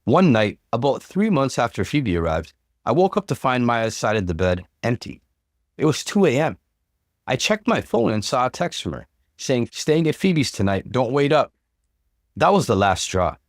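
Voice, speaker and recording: A man's voice: medium pitch, normal speed, no echo, studio quality. A man reading a first-person relationship story as a dramatic scripted narration, acting out the emotion expressively. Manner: expressive, acts out dialogue.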